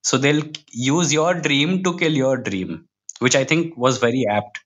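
A man with an Indian accent speaks in a mid-pitched voice as an interview guest. He is reflective and opinionated, building his points one after another in a conversational way. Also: measured pace, a bit bright, highs cut off. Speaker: guest, comic book writer.

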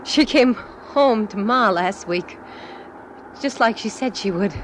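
A woman's voice: mid-range voice, lively intonation, slow, fairly bright tone, slight background noise, rough recording. An actress playing a hardened, sardonic young woman out for revenge in a 1940s radio drama. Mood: grim, menacing. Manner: tough, sardonic. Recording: vintage 1940s radio recording.

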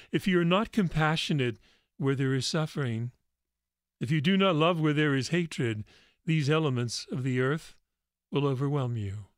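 A man plays the divine Father speaking from within in a religious tale. He is solemn and measured, commanding yet reassuring, in a slightly high male voice with a very dry sound. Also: clear, resonant tone, no room sound, close to an everyday voice. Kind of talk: dramatic religious story reading.

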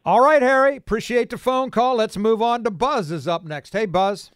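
A man's voice: very high voice, normal speed, clear, resonant tone, dry recording, studio quality. An American man hosting a casual sports call-in radio show. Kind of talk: casual sports talk with callers.

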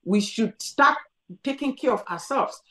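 A woman's voice: fairly high pitch, animated, unhurried, bright, forceful tone. Insistent woman, echoey room. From Cameroon. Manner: emphatic, insistent, repeats her urgings. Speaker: doctor answering callers. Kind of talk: call-in advice.

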